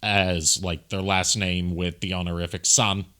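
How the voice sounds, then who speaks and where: A man's voice: low voice, flat delivery, measured pace, bright, forceful tone. A man giving casual commentary over a video as a streamer, explaining things in a rambling way. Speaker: streamer, amateur voice actor.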